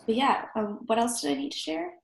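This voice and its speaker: A woman's voice: high voice, brisk, vocal fry. A young woman student introducing herself, nasal from a cold, friendly and a bit hesitant with many fillers. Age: young adult. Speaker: university student. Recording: echoey room with faint noise.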